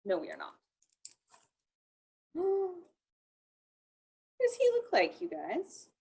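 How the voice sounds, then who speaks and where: A woman reading a picture book aloud to young children in an expressive, encouraging way, talking directly to them. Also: high-pitched, slow, gravelly.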